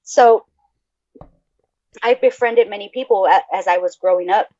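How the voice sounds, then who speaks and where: A Mexican American woman from the Texas border co-hosting a casual podcast, excitable, laughing easily and swearing freely. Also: mid-range voice, normal speed, dry recording, dull audio. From Texas border region, USA. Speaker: podcast co-host. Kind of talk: casual chat.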